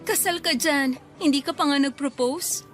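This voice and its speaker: A woman's voice: high voice, moderate pace, faint music behind, lightly acted. A Filipino woman acting a young working girlfriend in a radio romance drama, coy and playfully skeptical. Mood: teasing. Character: tired, doubtful, teasing young working girlfriend.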